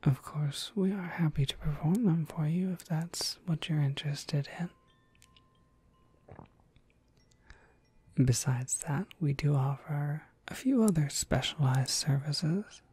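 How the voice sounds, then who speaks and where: A woman playing a gentle, courteous witch shopkeeper in an ASMR roleplay, speaking in an unhurried, breathy whisper. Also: low-pitched, no room sound, full character voice. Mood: matter-of-fact. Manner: unhurried, polite, softly explanatory. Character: gentle witch shopkeeper, courteous, quietly proud of her craft.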